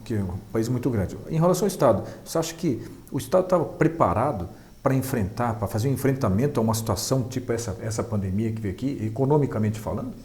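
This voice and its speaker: Mellow Brazilian man hosting a chat. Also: medium pitch, expressive, fast talker. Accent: Brazilian Portuguese. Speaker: interview host. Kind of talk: casual interview chat.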